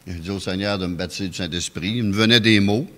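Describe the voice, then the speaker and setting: Calm Québécois man preaching in echoey church. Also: low voice, fast. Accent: Québécois French. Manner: calm, anecdotal, chuckles often. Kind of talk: testimony sermon. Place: church sanctuary.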